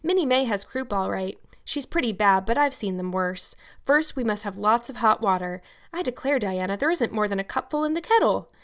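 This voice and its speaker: A woman's voice: medium pitch, expressive, normal speed, few pauses, a bit bright. A woman voicing a talkative, imaginative young girl with an earnest, grandly worded way of speaking in an audiobook. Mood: brisk, capable. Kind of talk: audiobook character dialogue.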